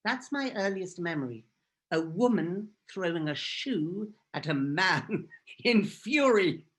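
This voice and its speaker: A woman's voice: fairly low pitch, animated, takes it slowly. A woman from Israel whose first language is Hebrew, giving an earnest, emphatic personal talk as a guest speaker. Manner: earnest and emphatic, repeating phrases for force.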